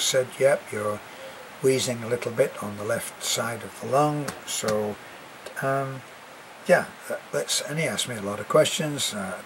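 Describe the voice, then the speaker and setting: A man's voice: mid-range voice, slight background noise, poor audio. An older British man with a cough and a congested voice, chatting casually to camera as an expat vlogger, rambling with many fillers and restarts. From Britain.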